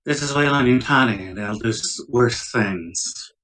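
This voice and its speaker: A man's voice: mid-range voice, a little slow, a bit bright. A man playing a tabletop roleplaying game, speaking casually in character. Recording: distant microphone.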